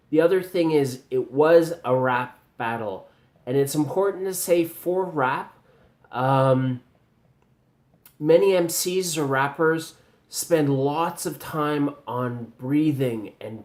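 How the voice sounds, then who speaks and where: Slow, teacherly Canadian man. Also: medium pitch, a little mellow. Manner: measured, teacherly, with pauses and ums. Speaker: video commentator reviewing pronunciation. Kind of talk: slow spoken video response.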